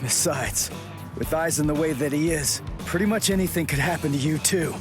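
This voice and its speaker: An actor playing an earnest, defiant young hero in an anime game dub, strained and shaken. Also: male voice, fairly high pitch, normal speed, music in the background, lightly acted.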